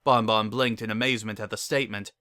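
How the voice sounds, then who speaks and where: A man's voice: lowish voice, fairly even intonation, fast talker, pushed and bright, clear, resonant tone, dry recording, studio quality. A man reading scripted dramatic story narration as a narrator.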